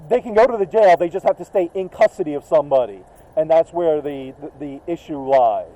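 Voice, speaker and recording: A man's voice: on the high side, expressive, fairly quick, mellow. A man casually explaining procedure on the job, recorded on dull body camera audio with some noise.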